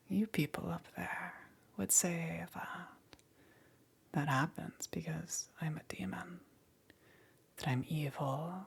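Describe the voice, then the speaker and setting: A woman voicing a seductive, possessive yet melancholy demon queen in a whispered ASMR roleplay, slow and softly condescending, with a breathy, husky voice. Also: fairly low pitch, heavily acted.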